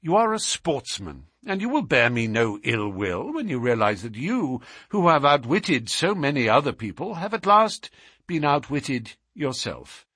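A man performs a self-assured gentleman detective from a classic mystery story. He speaks with courteous irony and precise phrasing, in a lively, swooping pitch with a slightly husky edge and very little room sound. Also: unhurried, no echo, clean studio sound, full character voice. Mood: wry, courteous. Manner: courteous, ironic, precise, unruffled.

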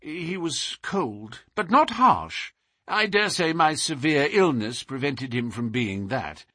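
Man playing an anxious, excitable young diplomat. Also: a little high, slow pace, no room sound, clean studio sound, pitched above the performer's own voice, exaggerated voice. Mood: earnest. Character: anxious, grateful young diplomat recovering from illness.

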